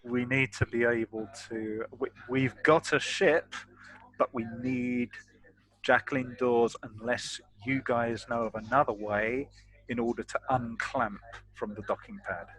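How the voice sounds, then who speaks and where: A man's voice: mid-range voice, fairly even intonation, a little slow, fairly bright tone, poor audio. A man chatting casually as a player in a tabletop roleplaying game. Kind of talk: casual in-character roleplay chat.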